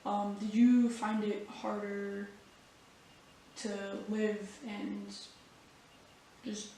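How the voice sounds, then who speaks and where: A woman's voice: medium pitch, flat delivery, very slow, mellow, slight background noise. Young woman interviewer, echoey and distant. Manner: formal, polite. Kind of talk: reading prepared interview questions. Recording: echoey room, far from the mic.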